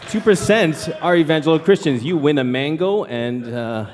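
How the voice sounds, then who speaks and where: A man missionary giving a church presentation, lively and joking with the audience. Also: fairly high pitch, moderate pace, in a large hall. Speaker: missionary speaker.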